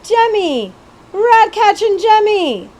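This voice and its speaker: A woman voicing a cheeky, boastful boy rat-fighter in a storybook, with squeaky, slangy speech. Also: big pitch swings, slow, darkish tone, exaggerated voice. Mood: excited greeting. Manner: uneducated street slang, exclamatory.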